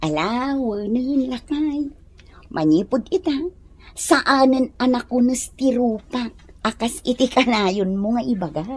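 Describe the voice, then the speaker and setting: A woman playing a nagging, sharp-tongued housewife in an Ilocano comedy radio drama, with an Ilocano accent. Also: fairly high pitch, expressive, unhurried, breathy edge. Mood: sorry, subdued.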